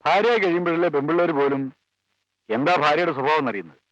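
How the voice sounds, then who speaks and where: An actor playing a composed male friend who calmly explains past events to a questioner in a 1980s film. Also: on the high side, fast, no room sound. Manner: calm, explanatory. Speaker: family friend recounting events. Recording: dull, dry 1980s film soundtrack.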